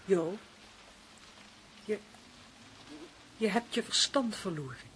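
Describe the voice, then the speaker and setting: An actress playing a proud, dominant, strong-willed woman in a Dutch radio play, speaking in a measured, deliberate way. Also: medium pitch, expressive, a bit bright. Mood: tense, shocked. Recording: noisy old radio play recording.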